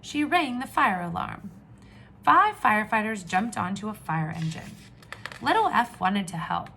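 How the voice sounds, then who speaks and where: Slow, animated woman reading to children. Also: mid-range voice, creaky, a little hiss or hum. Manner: expressive, questioning, drawn-out emphasis. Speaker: teacher reading aloud to young children. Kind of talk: children's read-aloud lesson.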